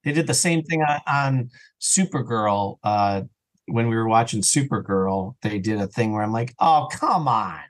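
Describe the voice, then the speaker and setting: A man with a medium-pitched voice talks casually as a podcast guest. He speaks in a conversational, storytelling way and often voices little imagined remarks as he explains things. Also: expressive, unhurried. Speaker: podcast guest, audio drama creator. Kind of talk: casual interview chat.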